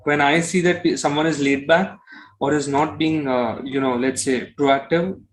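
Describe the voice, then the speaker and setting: A man's voice: fairly high pitch, steady tone, normal speed, crowd noise, low-quality recording. A man from Kolkata with an Indian accent, answering interview questions casually, often circling back over his phrasing with "you know". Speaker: musician interview guest.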